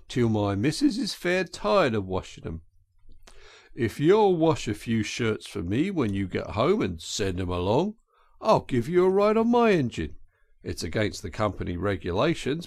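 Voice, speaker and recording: A man's voice: fairly low pitch, dry recording, studio quality, full character voice. A man voicing a burly, kindly working-class engine driver for a storybook, folksy and reflective in manner.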